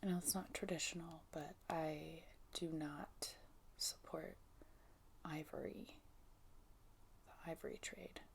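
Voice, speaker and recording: A woman whispering gently in a casual ASMR show and tell, soft-spoken and breathy, close to the microphone. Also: deep voice, steady tone, slow pace, pauses often, a little hiss or hum.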